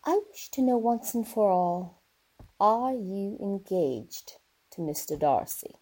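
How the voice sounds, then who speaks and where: Woman playing a husky, imperious aristocratic lady. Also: mid-range voice, expressive, slow, warm tone. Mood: indignant, imperious. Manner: haughty, commanding, formal. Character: haughty, imperious older aristocratic lady, frank and disdainful. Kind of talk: radio theater acting.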